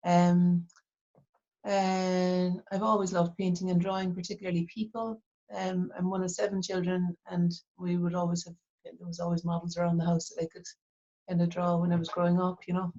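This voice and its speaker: A middle-aged woman with an Irish accent from Cork, talking reflectively in an interview and pausing often with fillers and restarts. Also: lowish voice, flat delivery, dark tone, dull audio. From Ireland. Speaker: artist, interview guest. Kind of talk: casual interview talk.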